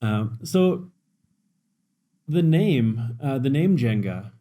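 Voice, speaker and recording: Mellow-voiced podcast host man. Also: mid-range voice, animated, extremely slow. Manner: enthusiastic, laughing, with filler words. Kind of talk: casual interview chat.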